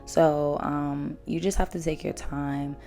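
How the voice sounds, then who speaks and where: Hoarse low-voiced woman vlogger, gravelly. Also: steady tone, slow, warm tone. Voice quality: naturally low voice. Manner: chatty, blunt, slangy. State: hoarse, lost voice after drinking. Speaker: beauty vlogger. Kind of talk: casual hair tutorial talk. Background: faint background music.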